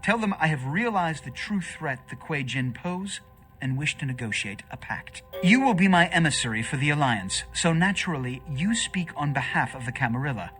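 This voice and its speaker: A scheming vampire prince in a video game, haughty and pompous. The voice is fairly high and forceful, with theatrical swells and formal phrasing over faint music. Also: male voice, lively intonation, moderate pace. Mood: urgent, commanding.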